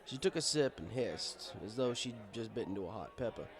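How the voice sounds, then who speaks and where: A man's voice: fairly low pitch, lively intonation, brisk. A man reading a first-person story as scripted narration, in a wry, conversational manner. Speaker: story reader.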